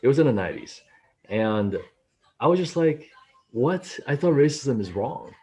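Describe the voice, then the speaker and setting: A man's voice: mid-range voice, moderate pace, slightly rough. A man in his late thirties from Taiwan, telling his own experiences on a video call, his voice jumping up when he quotes people. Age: late 30s. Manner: animated storytelling, voice jumps up when quoting. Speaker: panelist. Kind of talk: casual discussion on a video call. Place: home room. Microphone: laptop mic on video call.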